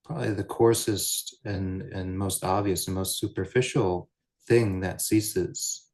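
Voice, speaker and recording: A man guiding a meditation as a teacher, speaking in measured, deliberate short phrases with pauses between them. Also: sits low, fairly even intonation, a little mellow. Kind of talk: guided meditation, unscripted Buddhist teaching.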